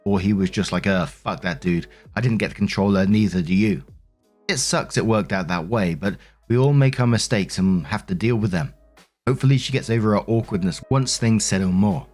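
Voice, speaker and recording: A man's voice: fairly low pitch, a little fast, a little mellow, soft background music. A man reading stories aloud as a host, in a conversational narration style with informal asides. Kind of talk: scripted read-aloud narration with casual commentary.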